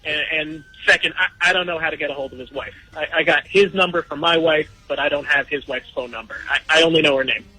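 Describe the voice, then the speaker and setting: A man's voice: a little high, quick pace, few pauses, bright, forceful tone, husky, faint music behind, rough recording. A man calling in to a radio show, speaking hesitantly with stammering restarts. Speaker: caller. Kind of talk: telling his story on a radio call-in.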